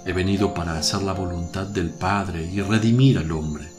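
Guy acting a calm solemn holy preacher, radio drama, deepish voice, music clearly behind. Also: moderate pace, natural voice. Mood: tender, grave.

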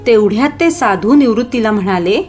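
A woman narrating a children's moral story in an expressive storytelling style. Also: fairly high pitch, brisk, faint music behind.

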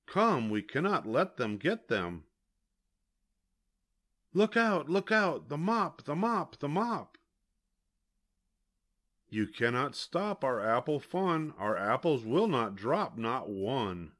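Older man for a children's read-aloud: very unhurried, expressive sing-song delivery, middle-pitched. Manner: slow, lively sing-song reading with bursts of high excitement. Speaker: storybook reader. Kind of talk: reading a children's picture book aloud.

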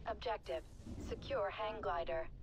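A woman playing a calm, dryly matter-of-fact computer assistant in a game, giving clipped, impassive announcements. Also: mid-range voice, a little slow, noisy background, lightly acted. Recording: loud game music over voice.

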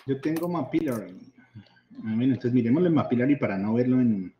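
A man's voice: medium pitch, lively intonation, fairly quick, background noise. A chatty man walks through his screen in a casual live demo, repeating small words and laughing easily. His voice carries a roomy echo, and the audio is a little muffled. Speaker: workshop presenter sharing his screen. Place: video call. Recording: echoey room, slightly dull audio.

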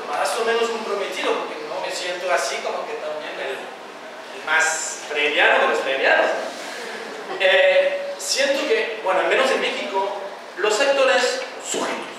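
A man in his forties, born in France and speaking a second language, giving a thoughtful, hesitant panel talk with many restarts. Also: sits high. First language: a regional language of France. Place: large classroom with panel audience. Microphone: handheld mic, far camera. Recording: echoey room, far mic, background hum.

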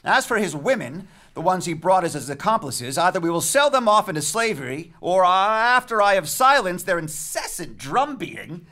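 A man's voice: high voice, very animated, moderate pace, hardly any pauses, sharp tone, full character voice. An actor playing an arrogant, authoritarian king with a commanding, sneering delivery in a dramatic stage reading of Greek tragedy. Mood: angry, commanding. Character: arrogant, authoritarian young male king, scornful and enraged. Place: stage in a theatre. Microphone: tabletop microphone.

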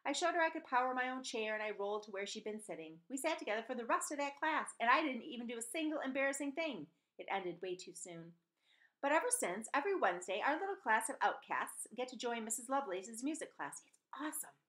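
A woman's voice: on the high side, animated, quick pace, fairly bright tone. A middle-aged woman reading a children's novel aloud as a storyteller, recorded indoors at home on a webcam microphone. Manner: acts out dialogue in changed voices. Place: small room at home, indoors. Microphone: webcam or laptop microphone at desk distance.

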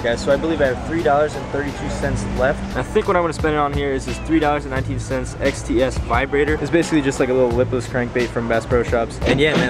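Fast-talking young American man, chatty. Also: medium pitch. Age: 20s. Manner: informal, chatty, addresses viewers as guys. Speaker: fishing vlogger. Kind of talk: casual vlog talk to viewers.

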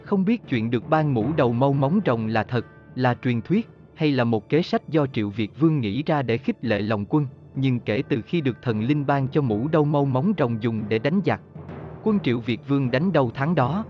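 A man's voice: mid-range voice, expressive, moderate pace, muffled recording. A man narrating history from a script in a formal storytelling manner. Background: background music.

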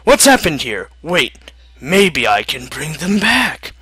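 A man's voice: on the high side, big pitch swings, slow, bright, forceful tone, slightly rough, no echo, full character voice. A man voicing a puzzled, earnest alien mentor in a comic story.

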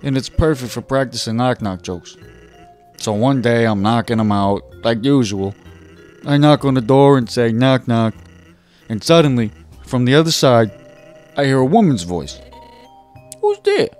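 A man playing a video game on a let's play, reading a character's dialogue aloud in a relaxed, jokey way. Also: mid-range voice, normal speed. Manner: laid-back, jokey, reads lines aloud. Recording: faint game music behind.

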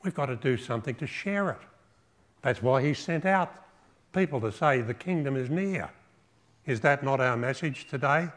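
An older man preaching a sermon in a small church hall, measured and reflective, posing rhetorical questions and listing virtues one by one. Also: medium pitch, animated, fairly bright tone. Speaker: pastor. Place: small church hall with drum kit, indoors.

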